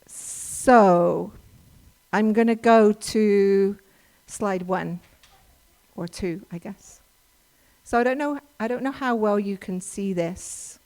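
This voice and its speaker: A woman's voice: mid-range voice, a little mellow, low-quality recording. English woman, workshop presenter, chatty and explanatory, lots of restarts. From England. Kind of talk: informal church workshop talk with slides. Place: church hall.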